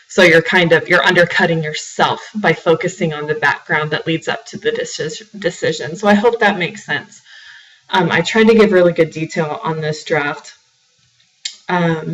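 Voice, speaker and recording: A woman's voice: fairly low pitch, fairly bright tone, distant mic, rough recording. A woman writing instructor with a sore, slightly froggy throat, giving a recorded class lecture full of "um"s and repeated words. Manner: filler-heavy, repeats words when restarting.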